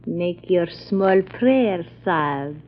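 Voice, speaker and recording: An actress playing a deadly exotic villainess who speaks with mock politeness and chant-like menace in 1940s radio style. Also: female voice, on the low side, animated. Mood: menacing, hypnotic. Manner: slow, incantatory, mock-polite. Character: exotic villainess, cobra charmer, silky and deadly. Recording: tinny, noisy old radio recording.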